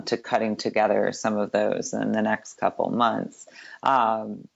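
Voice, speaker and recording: Deep-voiced chatty woman, gravelly. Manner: chatty, quick, with many filler words. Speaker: guest. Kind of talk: casual interview chat. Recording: dull lo-fi sound.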